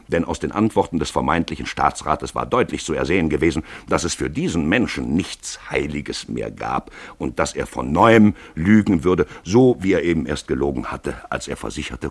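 A man narrating a literary radio play in an expressive storytelling manner. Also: extremely low pitch, vocal fry, slightly rough, no echo.

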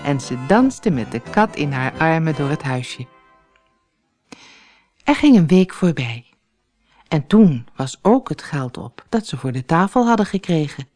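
A woman narrating a children's fairy tale as an audiobook reader, in a deliberate storytelling manner. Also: deep voice, sing-song, a little mellow, faint music behind.

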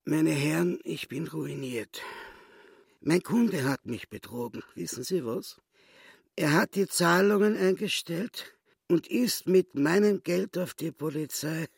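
A histrionic little swindler boss in a radio play, self-pitying and wheedling. The voice creaks and rasps, drawing words out slowly in a very dry, close studio sound. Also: male voice, fairly high pitch, dry recording, exaggerated voice. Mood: self-pitying, alarmed. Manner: theatrical, wheedling, emphatic pauses.

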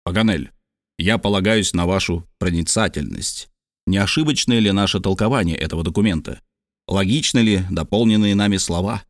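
An earnest, determined lord with a low, steady voice, played by a male narrator. He presses his points in a dry, close sound. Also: fairly quick, no echo.

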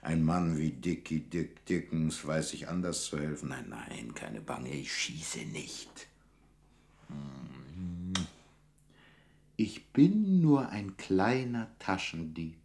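Cocky gentleman crook for 1960s radio drama: very low voice, nonchalant, slangy, fond. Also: expressive, slow, gravelly, a little hiss or hum, heavily acted. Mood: softly confident. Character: cocky, easygoing gentleman pickpocket and gangster.

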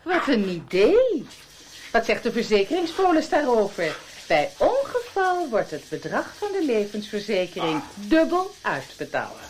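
Sharp-tongued wife, barbed and higher-pitched. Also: female voice, wildly swooping pitch, measured pace, a little hiss or hum. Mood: scornful. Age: 30s. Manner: barbed, sarcastic, dismissive. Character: sharp-tongued, discontented schoolteacher wife in her mid-thirties. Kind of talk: radio play acting, domestic dialogue.

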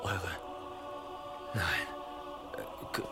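An actor playing a nervous, deferential medieval dignitary in a radio play, stammering and flustered. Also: male voice, very slow, music in the background. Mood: nervous, servile. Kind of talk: German radio play acting.

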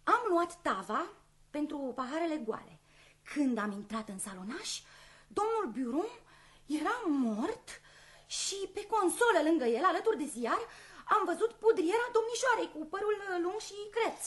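A woman's voice: very high-pitched, expressive. A woman playing a talkative, eager housemaid in a radio play, chatty and self-important as she hesitates over her words. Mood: confiding, hesitant.

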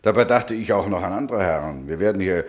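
A massive self-made millionaire in a radio play. His fairly low voice swings widely up and down. He is proud, emphatic and hot-tempered, blustering with self-importance. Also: expressive, fast. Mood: grudging. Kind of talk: German radio play acting.

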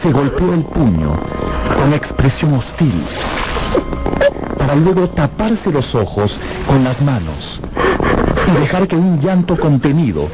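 A man's voice: fairly high pitch, sing-song, unhurried, pauses often, over loud music, rough recording. A man narrating a radio drama in a dramatic, scripted storytelling style.

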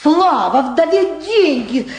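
A woman's voice: high voice, big pitch swings, slow pace, a little mellow, full character voice. A nervous, wheedling middle-aged woman from a radio play. She rambles and stammers, her intonation swinging widely as she talks. The voice sits in a roomy, echoing recording. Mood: plaintive, indignant. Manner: hesitant, rambling, stammering under pressure. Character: nervous, talkative woman witness, evasive and wheedling. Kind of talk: radio play dramatic acting.

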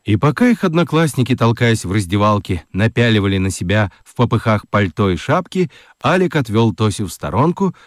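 A man narrating a children's radio play as an expressive, dramatic storyteller. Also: fairly low pitch, a little fast, few pauses, no room sound, clean studio sound.

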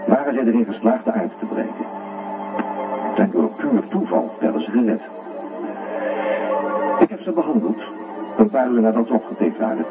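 An actor playing a grave, reflective doctor, giving measured first-person narration in a radio drama. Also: male voice, medium pitch, expressive, lightly acted. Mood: grave, brooding. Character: grave, brooding male doctor narrating a grim account. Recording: tinny narrow radio recording, music behind.

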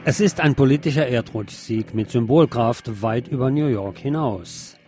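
Male radio news reader, medium pitch. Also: moderate pace, over loud music. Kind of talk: scripted news reading.

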